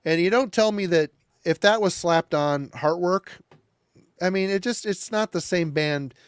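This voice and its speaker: Emphatic man, somewhat high voice. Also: a little slow, clean tone, dry recording. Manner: emphatic, repeats himself, swears casually. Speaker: podcast co-host. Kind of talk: casual chat about metal albums.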